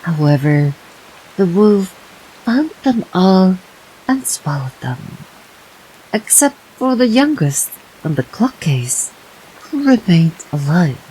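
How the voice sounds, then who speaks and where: Slow, mellow woman reading bedtime tales. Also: lowish voice, sing-song, breathy edge, rough recording. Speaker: streamer reading fairytales. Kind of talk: bedtime fairytale narration.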